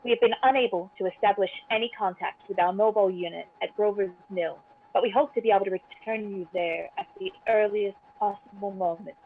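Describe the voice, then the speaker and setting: A woman's voice: medium pitch, steady tone, a little fast, a little hiss or hum, lightly acted. A woman playing a formal radio news announcer who reads grave bulletins in a 1930s-style radio drama. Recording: muffled lo-fi phone-like audio.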